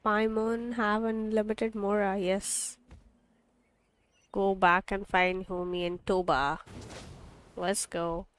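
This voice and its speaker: A young woman streamer with an Indian accent chats casually over a game. Her voice is smooth and clear at a middling pitch, and she takes her time. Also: level tone, slow pace, pauses often. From India, Delhi. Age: 20s. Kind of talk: casual livestream chat.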